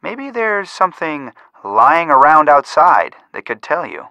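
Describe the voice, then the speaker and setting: A young male sleuth coaching the player in a video game. He is earnest but dryly teasing, with an animated, swinging pitch. The recording is dry and close. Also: unhurried, creaky, no echo, heavily acted. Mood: brisk, coaching. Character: earnest, wry young male amateur detective. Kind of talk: video game hint dialogue.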